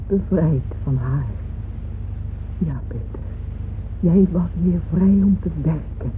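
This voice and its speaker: An older actress playing a weary, devoted patron lost in memories, speaking in a wistful, intimate radio play manner. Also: female voice, lowish voice, slow pace, a little hiss or hum. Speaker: radio drama actor. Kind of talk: radio play acting, reminiscing monologue. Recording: muffled phone-like radio play with music.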